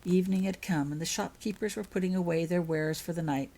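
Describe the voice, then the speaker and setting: A woman narrates a story in a fairly low voice with a husky grain. Her reading is expressive and shaped like an audiobook. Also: brisk. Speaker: author reading own story. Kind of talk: audiobook-style narration of fan fiction.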